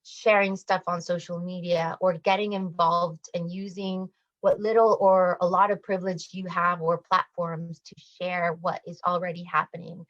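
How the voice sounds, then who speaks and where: A woman's voice: lowish voice, flat delivery, normal speed. A woman speaking as a campaigner and radio guest, giving earnest interview answers on slightly dull audio.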